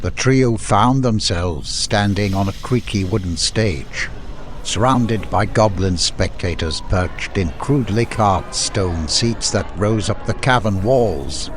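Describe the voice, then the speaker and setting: A man's voice: fairly low pitch, slow pace, a little hiss or hum. A man reading scripted fantasy narration as an audiobook storyteller.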